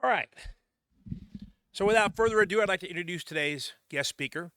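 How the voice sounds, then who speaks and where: A man introducing speakers at a meeting, halting with many uhs. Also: high-pitched, a bit bright, smooth, no echo, clean studio sound. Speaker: meeting emcee.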